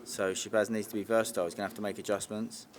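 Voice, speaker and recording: Low-voiced coach, noisy setting. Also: male voice, little pitch movement, rapid-fire. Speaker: boxing coach. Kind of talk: interview explanation of training and tactics. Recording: loud background noise, on location.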